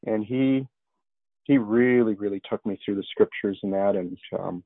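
A man's voice: fairly low pitch, moderate pace, no room sound. A middle-aged man giving a conversational testimony as an interview guest, earnest and rambling with restarts. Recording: narrow phone-line sound.

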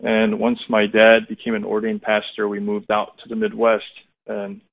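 A man in his thirties with an American accent and a fairly low voice, heard over a thin, phone-like line. He shares a personal testimony conversationally, pausing to reflect as he goes. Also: monotone, creaky. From United States, California and Indiana. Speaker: interview guest giving testimony. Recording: muffled, narrow phone-like line.

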